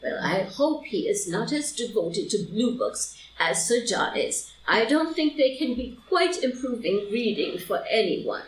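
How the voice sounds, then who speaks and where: A woman's voice: fairly high pitch, big pitch swings, unhurried, few pauses. A woman playing an elderly, genteel society lady who rambles confidentially and is easily scandalised, in a live reading of a period comedy. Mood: airy, opinionated. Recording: roomy, distant mic, faint noise.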